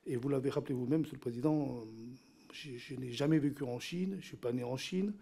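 A man's voice: mid-range voice, fast, vocal fry, mellow. A middle-aged man from Cambodia, whose first language is a Chinese dialect, testifying at a parliamentary hearing into a desk microphone in a large committee room, hesitant with many fillers and repeated words. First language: Teochew dialect. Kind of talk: formal testimony.

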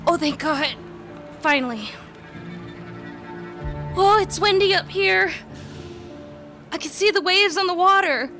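A woman playing a frightened character high on a bridge, exclaiming anxiously in a radio drama. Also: slow pace, long pauses, over music, exaggerated voice. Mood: frightened, breathless relief. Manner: panicky, exclaiming.

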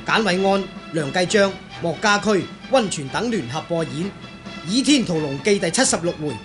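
A man's voice: sits high, expressive, moderate pace. A man announcing radio drama credits in Hong Kong Cantonese. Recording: loud music behind, old radio broadcast.

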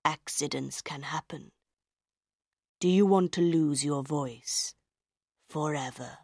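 A woman voicing a menacing male opera ghost who speaks low and hushed in a storybook reading. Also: slow, no room sound, clean studio sound, full character voice.

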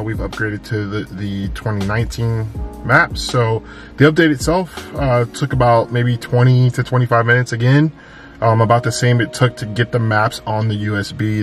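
A man hosting a car channel, talking casually step by step through a tutorial with lots of fillers, recorded inside a parked car. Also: fairly low pitch. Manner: casual, repeats steps, many fillers.

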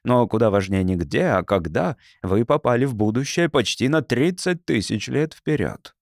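A man voicing a brisk, patronizing, smooth-talking mysterious man from the future in an audiobook. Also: mid-range voice, animated, no echo, clean studio sound, lightly acted. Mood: assured, coaxing.

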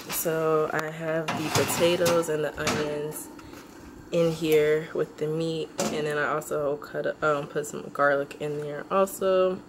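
A woman's voice: sits low, level tone, slow pace, long pauses. A woman in her twenties casually narrating her cooking in a small home kitchen, rambling with many ums, filmed on a handheld camera. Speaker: vlogger. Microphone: handheld camera, close and moving. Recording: noisy kitchen with frying sounds.

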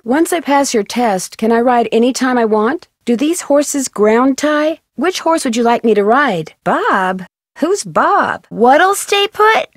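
A curious young female detective from a video game, played by a woman, speaks in a fairly high American voice. She is earnest, polite and sure of herself. The recording is dry and close. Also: no echo, natural voice. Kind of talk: scripted video game dialogue.

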